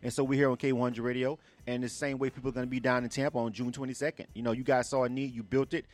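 A man's voice: medium pitch, little pitch movement, rapid-fire. A man hosting a casual radio interview, talking colloquially and often checking that the listener follows.

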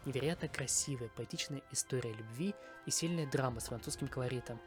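A man's voice: medium pitch, quick pace, over music. A man from Kazakhstan hosting a podcast, delivering a scripted review monologue. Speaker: podcast host.

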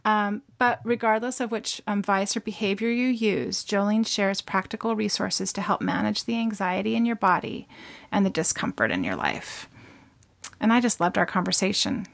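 Gravelly-voiced bubbly woman podcast host. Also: mid-range voice, expressive, normal speed. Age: 40s. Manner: bubbly, laughs often, gushing. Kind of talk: casual podcast interview.

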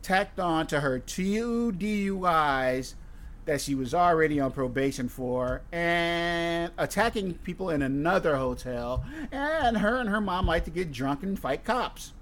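A man's voice: a little high, expressive, a little slow, fairly bright tone. A man hosting a podcast, chatting casually in a blunt, profane, rambling way. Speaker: podcast host. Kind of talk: casual chat.

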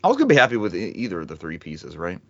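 Low-voiced man hosting, dry and slightly dull. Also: wildly swooping pitch, rapid-fire, dry recording. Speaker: podcast host. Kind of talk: casual joking banter on a gaming podcast.

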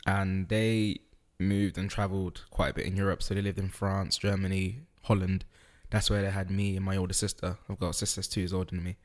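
A man, a podcast guest talking through his childhood in an easygoing, unhurried way with frequent ums. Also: deep voice, flat delivery. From East London, UK. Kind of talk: casual interview chat.

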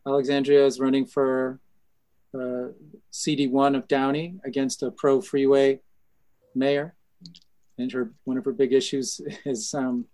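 A man closing his radio segment, speaking with hesitant pauses. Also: medium pitch, a little mellow, poor audio. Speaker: host of previous segment. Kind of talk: wrap-up talk on a radio show.

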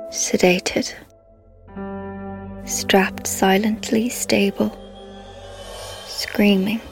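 A haunted, sedated shadow of a woman in a radio drama. She recites in a hushed incantation, extremely slowly, over music. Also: mid-range voice.

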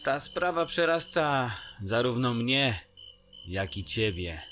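A man playing a sly, fearful underworld fixer in a fantasy audio drama, evasive and drawn-out in his speech. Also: medium pitch, very animated, a bit bright. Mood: wary, fearful.

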